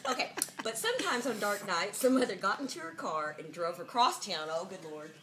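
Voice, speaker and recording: Woman, very lively intonation, hammy storybook reader with a sardonic, giggly streak; noisy homemade recording. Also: medium pitch, fairly quick. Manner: jokey, giggly, sardonic commentary. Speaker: reader of a picture book. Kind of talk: mock-dramatic storybook reading with amused asides. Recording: noisy amateur home video sound.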